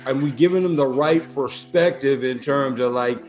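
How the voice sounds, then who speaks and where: A middle-aged man hosting a podcast in a casual conversational style, affirming and deliberate, circling around his questions. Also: medium pitch, darkish tone, faint music behind. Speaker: podcast host.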